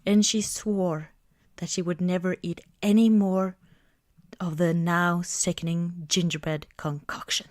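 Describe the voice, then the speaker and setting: Slow, soft-spoken ASMR woman. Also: lowish voice, fairly even intonation, dry recording, clean studio sound. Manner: gentle, unhurried, often dropping into whispers. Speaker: ASMR roleplay performer. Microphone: close microphone, dry sound.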